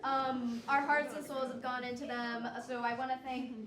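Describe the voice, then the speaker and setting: A woman's voice: high voice, level tone, normal speed. A young woman giving an informal, slightly hesitant thank-you speech to an audience as host and director. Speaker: student director hosting curtain call. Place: large indoor hall, crowd behind. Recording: echoey hall, distant mic, loud crowd.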